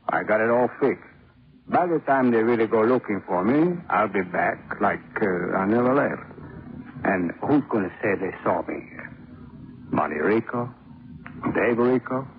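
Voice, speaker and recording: An actor playing a slow, smug and menacing gangster boss in a 1950s radio drama, with halting, ungrammatical tough-guy talk and deliberate pauses. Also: lowish voice, expressive. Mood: cold, boastful. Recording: muffled, noisy old radio recording.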